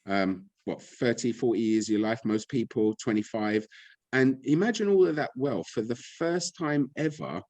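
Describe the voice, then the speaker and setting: A man's voice: medium pitch, animated, moderate pace, a little mellow, no echo. A man, speaking as a guest, ranting in a frustrated, blunt, impassioned way. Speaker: guest speaker on money and gold. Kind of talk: impassioned casual talk.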